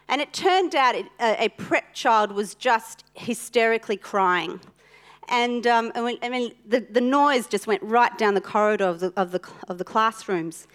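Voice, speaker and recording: A woman whose first language is Italian, preaching a sermon with personal stories in an earnest, deliberate way with pauses. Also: on the high side, fairly bright tone.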